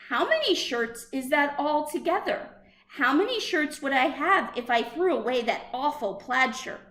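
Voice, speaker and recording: A woman reads a picture book aloud like a teacher to young children. Her voice is high and swings widely in pitch, lifting on the questions. She takes it slowly and deliberately, in a roomy, echoing space. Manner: expressive, deliberate storytelling with questioning lifts.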